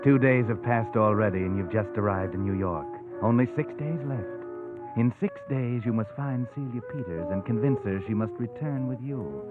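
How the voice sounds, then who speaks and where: An actor playing a sardonic, ominous, taunting narrator in 1940s radio suspense style. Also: male voice, mid-range voice, moderate pace, natural voice. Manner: taunting second-person address. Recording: tinny old radio recording, music underneath.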